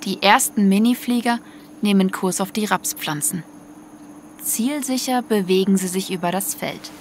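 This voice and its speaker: A woman's voice: mid-range voice, normal speed, slight background noise. A woman narrating a scripted audio story in prose. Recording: music under the voice.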